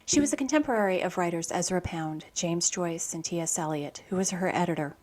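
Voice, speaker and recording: Low-pitched woman reading literary radio script. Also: a little fast, a little mellow. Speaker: poetry program host. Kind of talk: scripted narration and poetry reading.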